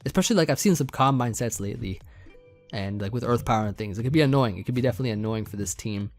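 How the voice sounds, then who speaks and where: A young man casually talking through a team build, rambling with many fillers, his voice getting a bit hoarse. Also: mid-range voice, rapid-fire, soft background music. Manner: relaxed, rambling, many fillers and restarts, light laughs. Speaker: competitive Pokemon team builder. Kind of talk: casual gaming commentary over team building.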